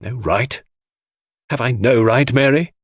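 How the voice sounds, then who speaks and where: A man voicing an angry, wounded gentleman husband, indignant and pleading, in an audiobook. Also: medium pitch, unhurried, breathy edge, no room sound. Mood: stricken.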